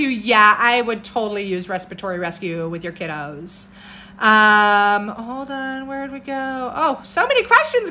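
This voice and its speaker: Woman in her 30s, loud bright voice, animated live-stream chat, phone mic at home, faint room hiss. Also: a little high. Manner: animated, jumps between topics and viewer comments, upbeat. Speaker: herbalist hosting a live stream. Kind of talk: casual live-stream chat answering comments. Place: home room with bookshelves, indoors. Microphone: phone camera at arm's length.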